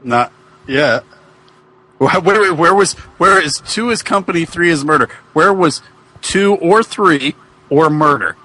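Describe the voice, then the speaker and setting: A man's voice: fairly high pitch, expressive, measured pace, pushed and bright, rough recording. A man in casual comic chat as a podcast co-host, puzzling aloud and often repeating himself.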